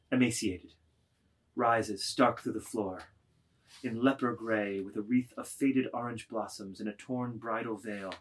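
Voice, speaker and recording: A man's voice: fairly low pitch, breathy edge, poor audio. A man reading scripted literary narration expressively, with rhythmic, emphatic delivery of lists of words.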